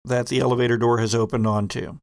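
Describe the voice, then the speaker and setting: A man acting as game master, narrating a tabletop horror roleplaying game in a halting, deliberate way with vivid detail. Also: medium pitch, flat delivery, darkish tone.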